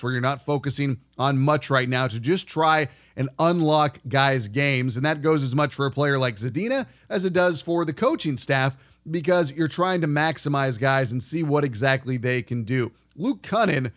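A man hosting a sports podcast, talking through a monologue in a conversational, emphatic way. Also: mid-range voice. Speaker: podcast host.

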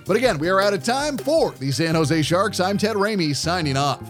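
A man's voice: high voice, lively intonation, moderate pace, soft background music. A man hosting a sports radio show, asking questions and presenting in a conversational way. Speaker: sports podcast host, interviewer.

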